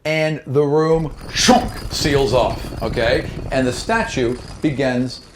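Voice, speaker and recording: A man's voice: mid-range voice, slow pace, noisy background. A man working as game master and podcast host, narrating a fantasy tabletop game in a conversational way. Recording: background music under the voice. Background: music.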